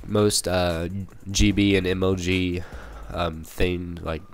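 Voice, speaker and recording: A young man with a cold, hoarse and a little raspy, rambling through casual gameplay commentary with many fillers and restarts. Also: low voice, flat delivery, slow, a little hiss or hum. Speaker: gaming channel commentator.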